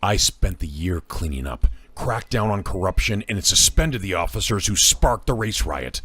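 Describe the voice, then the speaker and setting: A man playing a weary, defensive police commissioner with a heavily raspy voice in a superhero fan dub. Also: low voice, lively intonation, normal speed, a bit bright, exaggerated voice. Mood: defensive, earnest. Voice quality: very husky, raspy.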